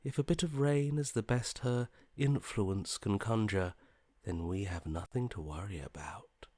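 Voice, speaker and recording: An actor playing a detached, morbidly curious inner voice in a video game, with a languid, blasé delivery that softly trails off. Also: male voice, lowish voice, a little slow, dry recording. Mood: cold indifference. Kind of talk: video game character voice acting.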